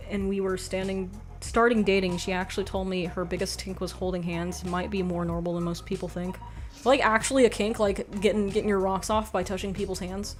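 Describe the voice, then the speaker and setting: A young woman hosts a livestream in a soft, quiet voice. She rambles through chat with frank, offhand remarks and plenty of "like". Faint music plays behind her. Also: mid-range voice. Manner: rambling, frank, laughs often, says "like" a lot. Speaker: livestream host reading chat. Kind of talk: casual chat.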